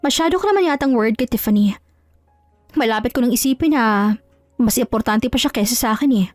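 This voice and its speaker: A coolly composed, jealous wife from an audio drama, voiced by a woman storyteller. She is sarcastic and feigns innocence in a fairly high voice. The sound is close and dry. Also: lively intonation, fairly quick, a little mellow, no echo, soft background music, lightly acted.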